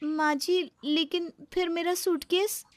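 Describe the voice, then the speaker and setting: Young daughter-in-law, played by a woman, high-pitched, courteous yet exasperated, pleading; comic domestic story, dry recording. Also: steady tone, slow, no room sound. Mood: hesitant. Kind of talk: dramatized comic family story.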